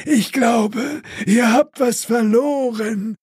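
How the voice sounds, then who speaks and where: A man's voice: very high voice, slow pace, darkish tone, slightly rough, dry recording, studio quality, pitched above the performer's own voice, heavily acted. A man voicing a slyly menacing shadow phantom with an oily, crooning delivery in an audiobook.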